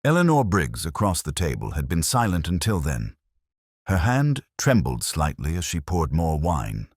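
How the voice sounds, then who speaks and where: A man with an extremely low voice reads a reflective first-person detective tale. His delivery is measured and brooding, with lively movement in pitch. The recording is dry and close, with very little room sound. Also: husky, no room sound. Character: world-weary male police inspector recounting a case. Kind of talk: scripted first-person detective story narration.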